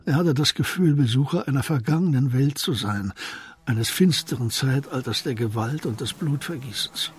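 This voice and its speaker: A man's voice: medium pitch, moderate pace, a little hiss or hum. A man reading scripted narration for a German crime radio play. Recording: faint music behind voice.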